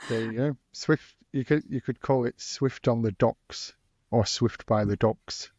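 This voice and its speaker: A man's voice: mid-range voice, measured pace, a little mellow, dry recording. Hesitant man on a dull, dry line. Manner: hesitant, restarts a lot. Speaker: conference organizer. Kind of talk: casual podcast chat.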